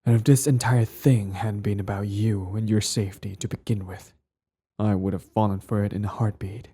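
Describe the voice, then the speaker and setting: A brooding ex-hero villain, acted by a man, speaks in a low, dark, husky voice. He is bitter yet devoted, measured and intense, with dramatic pauses suited to an intimate ASMR roleplay. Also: fairly even intonation. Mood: grave, protective. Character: cold former-hero villain, earnest, bitter, devoted to partner.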